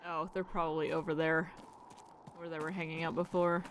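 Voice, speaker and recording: A woman's voice: deep voice, flat delivery, a little fast, a little hiss or hum. A woman live-streaming a game, musing aloud to herself and reading out dialogue as she plays. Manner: muses aloud to herself while playing. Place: indoors, live stream setup.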